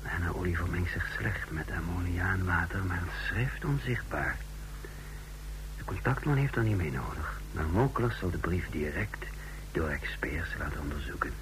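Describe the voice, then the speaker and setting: A man playing a scheming, coolly contemptuous secret agent on the run in an old radio play, speaking deliberately and broodingly. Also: low-pitched, lively intonation. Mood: tense, secretive. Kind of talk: old Dutch radio play acting, first-person narration. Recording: noisy old radio drama recording.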